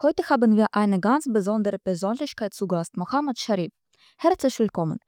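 A woman co-hosting a podcast, reading out interview questions briskly. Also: medium pitch, expressive, a little mellow, no room sound, studio quality. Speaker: podcast co-host.

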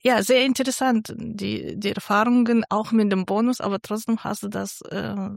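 A woman's voice: medium pitch, fairly even intonation, normal speed, gravelly, no echo. Woman podcast host, mid voice, friendly and encouraging, dry close mic. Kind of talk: interview questions.

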